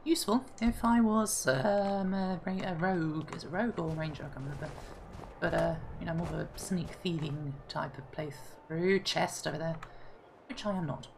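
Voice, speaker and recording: Low-voiced woman gamer, slightly hoarse. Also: moderate pace, a little mellow, slight background noise. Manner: chatty, thinking aloud. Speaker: gaming channel host. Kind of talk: casual let's-play commentary. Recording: clear game music behind.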